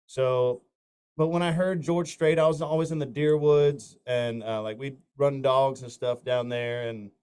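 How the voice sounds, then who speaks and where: A man from north Florida tells stories at an easy, conversational pitch. His voice is smooth and resonant. He rambles through anecdotes, restarting phrases and dropping in plenty of "um"s and "you know"s. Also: fairly even intonation, measured pace, darkish tone. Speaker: country singer, interview guest. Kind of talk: casual storytelling interview.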